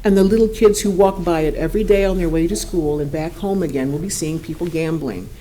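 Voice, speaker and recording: An older woman speaks at a council podium with a dark, mellow voice. She is earnest and concerned, with a naturally strong voice she tries to keep down. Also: lowish voice, brisk, slight background noise, low-quality recording. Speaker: resident giving public comment. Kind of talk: public comment at a meeting. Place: city council chamber podium.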